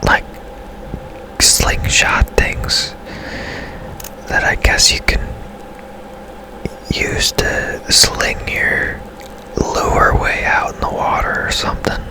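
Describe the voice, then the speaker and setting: Guy whispering real slow, chill asmr gaming commentary, super casual. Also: low-quality recording. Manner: whispering throughout; casual, unhurried. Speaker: gaming streamer.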